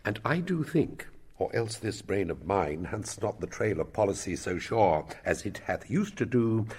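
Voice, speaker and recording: A fussy, self-important old courtier played by a man in a Shakespearean play. His husky, lowish voice swings widely as he pompously spins out his phrases. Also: very animated, normal speed, darkish tone. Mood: self-satisfied. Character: self-important, long-winded old royal counsellor. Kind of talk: Shakespearean stage-style acting.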